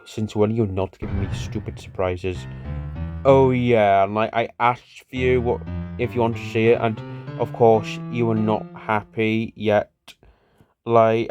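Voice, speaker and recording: A man's voice: on the low side, natural voice. A man voicing a bickering, sweary cartoon buddy who reminisces in a rambling, repetitive, conversational way. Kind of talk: casual improvised-sounding cartoon dialogue.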